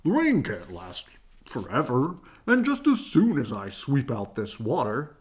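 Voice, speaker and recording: A man voicing a hearty, boastful, undaunted father bear from a storybook, with exclamatory, drawn-out delivery. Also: medium pitch, wildly swooping pitch. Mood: reassuring.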